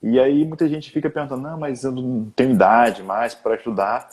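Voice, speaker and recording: Guy hosting an interview, husky mid voice, chatty, lots of tag questions. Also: medium pitch, fairly even intonation, brisk, a little mellow. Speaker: host, exam-prep teacher.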